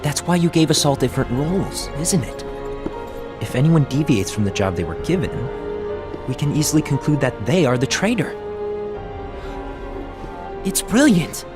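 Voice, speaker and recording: An actor playing an earnest, dutiful young apprentice in an animated fantasy game, speaking politely and seriously. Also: male voice, a little high, normal speed, pauses often, over music. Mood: excited.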